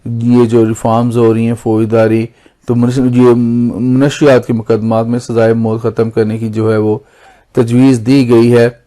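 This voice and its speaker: Mellow, even-toned Pakistani man explaining. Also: mid-range voice, unhurried. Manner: formal, repeats and rephrases points. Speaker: lawyer presenting legal explainer videos. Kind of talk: explanatory lesson, semi-scripted.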